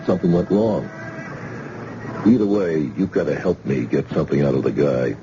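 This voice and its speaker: A deep-voiced dogged detective. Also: male voice, animated, normal speed. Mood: urgent. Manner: terse, probing, matter-of-fact. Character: dogged male police detective sergeant. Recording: dull old radio broadcast with hiss.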